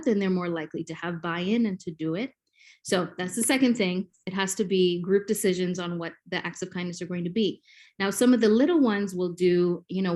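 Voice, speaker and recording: A woman's voice: fairly low pitch, darkish tone. A woman in her forties from Kenya, a native Swahili speaker, talking as a podcast guest and explaining things with many ums like a classroom teacher. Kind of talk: podcast interview.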